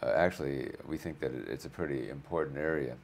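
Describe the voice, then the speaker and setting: A man hosting an interview show, slightly hoarse from a cold, chatty with frequent fillers. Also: a bass voice, quick pace. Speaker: host.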